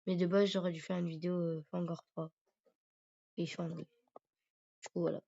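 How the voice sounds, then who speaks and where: A man's voice: fairly high pitch, level tone, normal speed, gravelly, warm tone. A man with a cold and a sore throat, rambling hesitantly through casual commentary over his gameplay. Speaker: gaming YouTuber. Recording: dull lo-fi recording.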